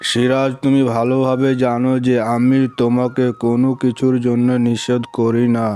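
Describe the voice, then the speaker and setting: An actor playing a burdened but reasonable village moneylender, speaking in a measured, explanatory way for a cartoon story. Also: male voice, mid-range voice, monotone, warm tone, clean tone, close to an everyday voice. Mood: regretful. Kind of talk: cartoon moral story dialogue. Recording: music behind the voice.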